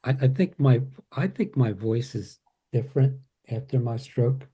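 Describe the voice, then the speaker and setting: Halting, dark-voiced man. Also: medium pitch, breathy edge. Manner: halting speech with restarts and fillers, laughs at himself. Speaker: support group member.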